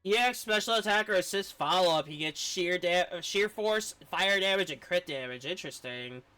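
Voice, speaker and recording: A man streams a video game with casual, excitable commentary. His voice swings widely in pitch and sounds bright and forward, with game music playing behind him. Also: moderate pace, clear, resonant tone. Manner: excitable, reacting aloud to the game.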